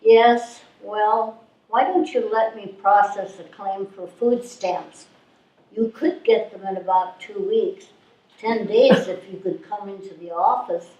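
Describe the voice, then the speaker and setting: A woman's voice: mid-range voice, mellow, muffled recording, natural voice. A woman playing an obtuse, politely bureaucratic helpline clerk in a live readers theatre reading. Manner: officious, measured. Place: live stage reading in a room. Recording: echoey room, distant microphone.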